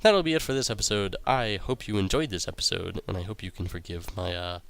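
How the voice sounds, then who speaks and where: Raspy-voiced young man commentating a game. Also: sits low, fairly quick. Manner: rambling, many ums and uhs, reads quest text aloud. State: raspy voice from illness. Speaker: let's play host.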